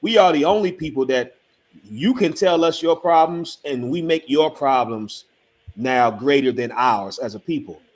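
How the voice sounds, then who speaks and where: A man speaks at a middle pitch on an online panel discussion. He tells a story and acts out the dialogue, then builds to forceful points. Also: lively intonation, moderate pace. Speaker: panel member.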